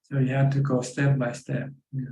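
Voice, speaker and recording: A man with a Thai accent guides a caller through meditation in a patient, plain way. His voice is mellow and steady, with little rise and fall. The call sounds roomy, with a faint hiss behind it. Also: medium pitch, moderate pace. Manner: calm, patient, plain instructive phrasing. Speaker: Buddhist meditation teacher. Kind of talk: answering questions on a live video call. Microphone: video call.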